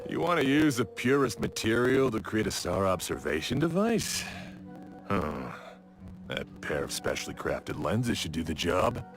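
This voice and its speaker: An actor playing a proud, exacting blacksmith in a video game, speaking in a measured, wry, matter-of-fact way. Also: male voice, fairly low pitch, very animated. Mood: skeptical. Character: proud, exacting male blacksmith, gruff but obliging craftsman. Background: game music.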